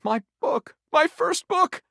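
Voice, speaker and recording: A man's voice: very high voice, animated, takes it slowly, creaky, pushed and bright, dry recording, studio quality, full character voice. A man voicing a theatrical, bookish living-library character in a video game, effusive and florid in diction. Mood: betrayed, bitter. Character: flamboyant, theatrical bookish living library, eager and easily wounded.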